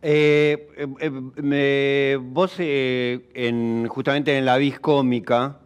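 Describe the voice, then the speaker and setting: A man's voice: medium pitch, little pitch movement, takes it slowly, clean tone. A man with a Rioplatense Argentine accent interviewing reflectively, searching for his words and checking for agreement with tag questions. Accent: Argentine Rioplatense Spanish.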